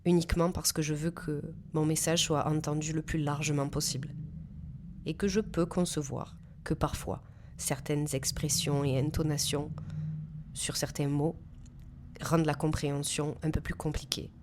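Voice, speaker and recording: A woman in her thirties from south-east France, speaking with a southern French accent as she reads her own podcast narration in a measured, careful way. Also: sits low, fairly even intonation, noisy background. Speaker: podcast host. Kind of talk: scripted solo podcast narration.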